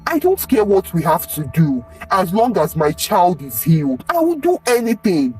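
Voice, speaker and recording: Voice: mid-range voice, big pitch swings, moderate pace, a little mellow, far from the mic, soft background music, lightly acted. A storyteller voicing a distressed mother in an emotional, imploring way. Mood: desperate. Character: distressed, pleading mother of a sick daughter.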